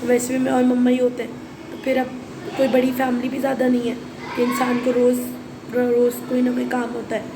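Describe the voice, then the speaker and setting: A young woman from Karachi, Pakistan, with a hoarse, sore throat, chatting casually and rambling in a home vlog. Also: on the high side, monotone, a little fast. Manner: rambling, chatty, mixes in English. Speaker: vlogger and schoolteacher. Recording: noisy background hum.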